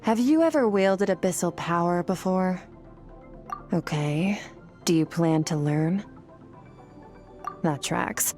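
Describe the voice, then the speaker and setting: Cool, low-voiced aloof sword master. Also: female voice, a little mellow, soft background music. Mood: cool, explanatory. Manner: measured, matter-of-fact, detached. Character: aloof, composed young woman sword master, coolly pragmatic. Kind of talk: video game character acting.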